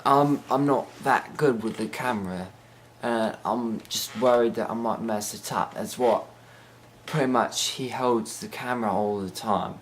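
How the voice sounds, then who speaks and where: Teenage boy, put-on British accent, slow, halting, full of ums, faint background noise. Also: medium pitch. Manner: hesitant, rambling, many ums and restarts. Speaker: mock interviewee. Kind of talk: joking mock interview.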